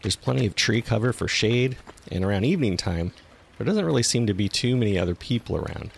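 A man narrates as a podcast host, working from a script. His voice sits low, with plenty of gravelly fry at the bottom. The delivery is that of a storyteller reading prepared copy. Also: lively intonation, brisk, slight background noise.

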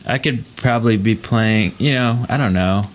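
A man's voice: lowish voice, normal speed. A man chatting casually as the host of a gaming podcast.

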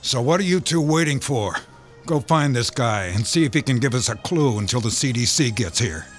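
A brusque, commanding county sheriff, played by a man in a radio drama, giving orders in a plain mid-pitched voice. Faint music runs under the scene. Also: expressive, normal speed, natural voice. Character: gruff middle-aged male county sheriff, impatient.

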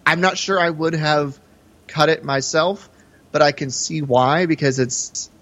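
A man's voice: fairly high pitch, a little slow, fairly bright tone. A man co-hosting a podcast, talking about film in a casual, hesitant, musing way. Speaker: podcast co-host. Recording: slightly dull, lo-fi audio.

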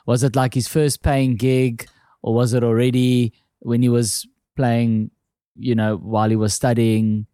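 Mellow South African male interviewer. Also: medium pitch, level tone, measured pace, no echo, studio quality. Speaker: host, interviewer. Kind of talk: casual interview chat.

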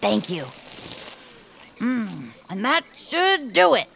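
A woman's voice: on the high side, sing-song, takes it slowly, gravelly, a bit bright, slight background noise, heavily acted. A woman playing a plucky, dreamy young female bird inventor in a family audio drama. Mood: busy, matter-of-fact. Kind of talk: family audio fiction acting.

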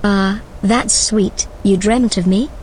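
A woman's voice: mid-range voice, fairly even intonation, takes it slowly, darkish tone, a little hiss or hum, lightly acted, poor audio. A woman playing a sardonic rogue female artificial intelligence in an audio drama, casual, wry and unbothered. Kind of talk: scripted audio drama acting.

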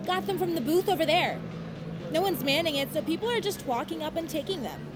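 Casting: cheeky convention pal, high voice, casual playful banter, music behind. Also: female voice, lively intonation, fairly quick. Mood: breezy. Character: chatty, cheeky young female convention-goer. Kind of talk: audio drama, casual banter.

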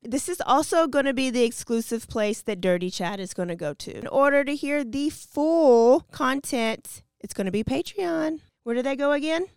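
A woman's voice: sits high, lively intonation, normal speed, hardly any pauses, smooth, no room sound. A woman chatting informally and casually as a podcast co-host. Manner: chatty, informal, uses y'all.

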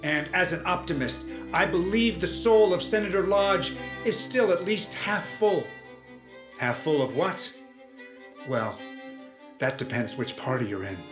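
An actor playing a grandiose President who loves to perform, oratorical and theatrical, in a comedic audio drama. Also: male voice, high-pitched, expressive, unhurried. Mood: grand oratory. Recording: echoey space with music behind.

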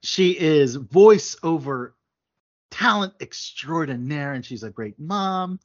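A man's voice: fairly high pitch, expressive, slow. A man hosting a casual chat show, talking at length with many fillers and restarts. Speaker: podcast host. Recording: dull lo-fi sound.

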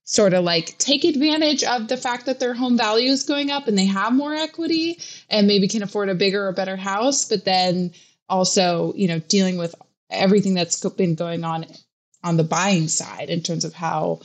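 A woman's voice: medium pitch, moderate pace. A young adult woman from Hawaii, a real estate reporter chatting in a casual podcast interview, with lots of fillers and restarts.

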